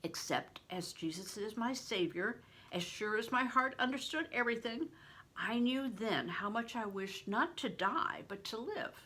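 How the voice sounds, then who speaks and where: An older woman reading a novel aloud in an expressive, deliberate storytelling manner, stressing words for drama. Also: a little high, breathy edge. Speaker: reader, retired reading teacher. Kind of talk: expressive audiobook-style read-aloud of a novel.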